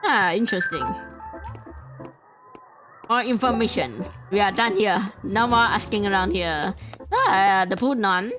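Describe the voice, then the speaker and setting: Casting note: woman gaming host, mid-pitched voice, thinks out loud over clues, soft game music underneath. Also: unhurried, pauses often. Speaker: let's play host. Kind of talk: casual game commentary.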